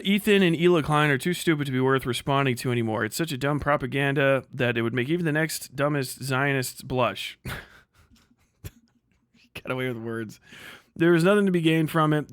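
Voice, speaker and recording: A man's voice: medium pitch, fairly quick, a bit bright, clean tone, no room sound. Blunt man commenting on a livestream, dry sound. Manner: blunt, profane, rambling. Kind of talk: casual livestream commentary, reading and reacting to posts.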